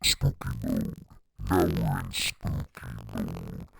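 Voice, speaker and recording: A spooky, extremely deep voice. It is heavily gravelly and very husky, with an artificial edge. The delivery is casual gadget-demo talk. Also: male voice, very animated, slow, a bit bright, no echo, clean studio sound, full character voice. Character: spooky, monstrously deep male costume voice. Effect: live pitch shifter, lowered. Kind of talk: casual tech demonstration.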